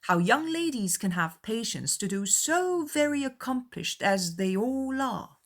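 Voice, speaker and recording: A woman voicing a cheerful, easygoing, excitable young gentleman in a dramatized period novel. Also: a little high, unhurried, voice pushed up. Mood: breezy, amiable.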